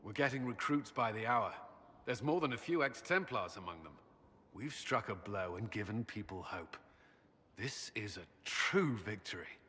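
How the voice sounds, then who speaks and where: An actor playing an earnest, troubled military commander in a game, speaking with formal, halting intensity. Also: male voice, lowish voice, expressive, bright, forceful tone, breathy edge, exaggerated voice. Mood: resolute. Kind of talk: dramatic game voice acting. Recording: long reverb, noticeable noise behind.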